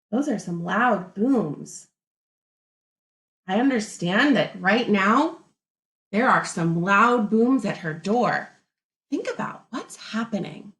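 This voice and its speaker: Slow, animated woman reading to young children. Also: medium pitch, roomy sound. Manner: expressive, deliberate, thinking aloud with musing hums. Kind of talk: picture-book read-aloud with think-aloud commentary.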